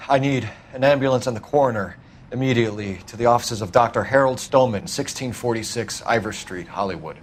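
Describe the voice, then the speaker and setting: An actor playing a serious, commanding American police detective in a crime drama game, with a clipped, businesslike delivery. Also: male voice, mid-range voice, brisk, slight background noise, close to an everyday voice. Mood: brisk, urgent.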